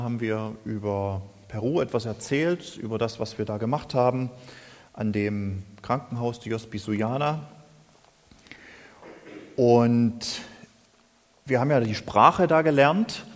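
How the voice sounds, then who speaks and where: A man from Germany preaching a sermon in a slow, deliberate and thoughtful way. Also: medium pitch. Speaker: lay preacher. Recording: reverberant hall.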